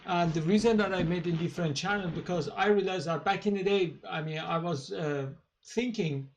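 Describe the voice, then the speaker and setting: A man with a thick Persian accent talks on his own channel in a fairly high voice. He speaks casually and haltingly, full of fillers and restarts. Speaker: channel host. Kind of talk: casual monologue to camera.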